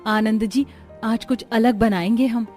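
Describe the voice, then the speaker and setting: A woman playing women of a joint family in a Hindi cartoon story, with expressive, earnest dramatic delivery. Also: on the high side, a little slow, soft background music, close to an everyday voice. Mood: earnest, homely. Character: women of a joint family, dutiful daughters-in-law and mothers-in-law.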